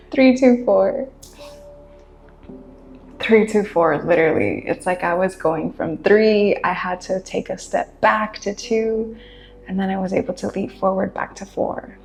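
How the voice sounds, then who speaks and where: Woman podcaster, first language Spanish, unhurried rambling chat, laughs a lot, roomy echo. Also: mid-range voice, vocal fry, faint music behind. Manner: relaxed, rambling, laughs often. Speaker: podcast host. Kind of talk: casual monologue. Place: her room.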